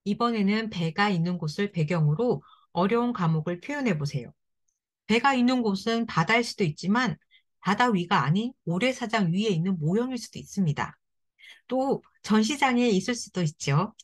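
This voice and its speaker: A woman at a middle pitch reads scripted instructions for a children's book-making activity. She speaks to the kids directly, gently and encouragingly, praising their work as she goes. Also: animated, normal speed.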